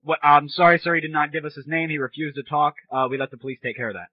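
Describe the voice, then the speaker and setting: A man's voice: on the high side, fairly even intonation, rapid-fire, no room sound. A sarcastic, foul-mouthed man hosting an irreverent comedy show with prank calls. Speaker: show host. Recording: dull lo-fi old internet radio audio.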